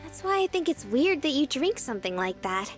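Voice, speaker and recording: Give a sweet, chirpy young woman from a visual novel. Her pitch is extremely high and swings up and down in a lively, cutesy way. Music plays behind her earnest lines. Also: a little fast. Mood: puzzled, earnest.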